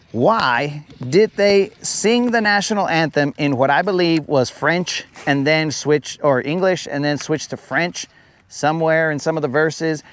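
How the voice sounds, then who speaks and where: A man hosts a live fishing broadcast from out on a lake. He talks to viewers in a relaxed, rambling way and reads their comments aloud. His voice sits a little higher than most men's. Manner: casual, chatty, says "bro" a lot, reads viewer comments aloud. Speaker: fishing livestream host. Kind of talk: live stream chat while fishing.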